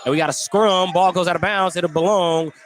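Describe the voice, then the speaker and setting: A man's voice: sits high, steady tone, fairly quick, noisy background. A man doing live play-by-play commentary of a basketball game. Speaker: play-by-play announcer. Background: basketball gym ambience, bouncing balls.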